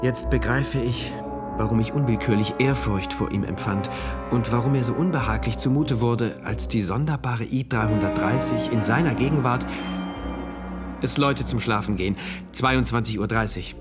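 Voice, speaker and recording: A male actor as an earnest citizen of a regimented future state, confiding his diary in a radio play. He speaks precisely and with measured devotion, at a middling pitch, with music clearly present behind him. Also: natural voice. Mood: uneasy, reflective. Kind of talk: first-person radio drama narration, diary entries.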